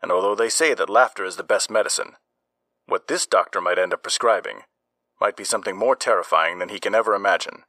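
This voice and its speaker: Low, bright-edged man narrating ominously. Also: fast talker, no room sound. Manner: ominous, dramatic storyteller. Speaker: narrator of horror anthology. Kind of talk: scripted narration.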